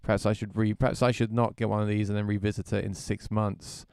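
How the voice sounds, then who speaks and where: A man's voice: lowish voice, flat delivery, quick pace, darkish tone, clean tone, no echo, clean studio sound. Chatty man demoing music gear. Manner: chatty, repeats words while thinking aloud. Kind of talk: casual livestream gear demo. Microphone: vocal mic through effects unit.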